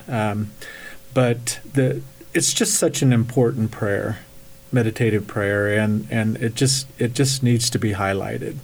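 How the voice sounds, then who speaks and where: A man who sponsors the radio segment, explaining it in casual conversation with many pauses, fillers and restarts. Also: fairly low pitch, a little mellow. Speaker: program sponsor.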